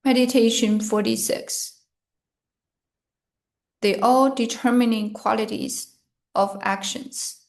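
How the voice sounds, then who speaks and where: A woman reading a scripted guided meditation in short, measured phrases with pauses between them. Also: fairly high pitch, steady tone.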